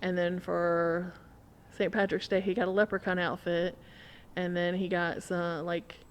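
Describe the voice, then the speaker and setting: A woman's voice: fairly low pitch, flat delivery, measured pace, smooth. A woman telling a story in casual conversation as a podcast guest, animatedly acting out what people said.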